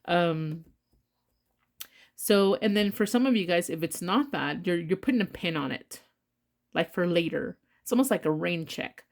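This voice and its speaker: A woman's voice: fairly low pitch, expressive, measured pace. A congested woman giving a casual tarot reading, talking bluntly with rambling asides and swearing freely. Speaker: tarot reader.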